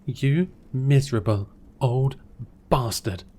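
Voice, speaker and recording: A man voicing an indignant heroine in a story, arguing heatedly in his own soft, mellow voice. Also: fairly low pitch, lively intonation, unhurried, husky. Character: angry young heroine arguing.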